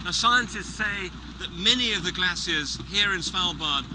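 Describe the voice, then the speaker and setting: A man reporting to camera from a boat among floating ice outdoors, describing the scene in a crisp way. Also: high voice, lively intonation, measured pace. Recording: boat engine noise outdoors.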